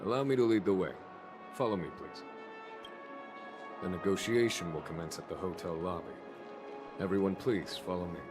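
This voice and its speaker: A man voicing a composed, genial and diplomatic general in a video game, measured and courteous. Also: deep voice, close to an everyday voice. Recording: music under the voice.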